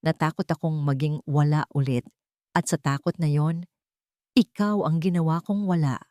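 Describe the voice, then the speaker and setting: A woman voicing a remorseful, tearful businesswoman who has lost everything, speaking softly and brokenly. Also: low-pitched, fairly even intonation, normal speed, no echo, studio quality, close to an everyday voice. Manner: soft, broken, pleading.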